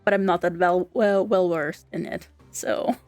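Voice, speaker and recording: A woman's voice: lowish voice, fairly even intonation, no room sound. Giggly young Austrian woman streamer. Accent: Austrian German. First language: German. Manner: chatty, giggly, halting with restarts. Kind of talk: casual live-stream chat. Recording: dry close mic, quiet game music.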